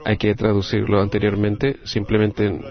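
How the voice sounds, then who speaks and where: A man with a Latin American Spanish accent interpreting a group discussion live, in halting, phrase-by-phrase delivery. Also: lowish voice, completely flat delivery, dull audio.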